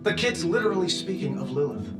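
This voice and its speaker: A man's voice: a little high, expressive, quick pace, close to an everyday voice. A man playing a cocky, flippant superhero in a video game, with glib, sarcastic delivery. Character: flippant, cocky male superhero, quick with quips. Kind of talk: video game cutscene acting. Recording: echoey, loud music over the voice.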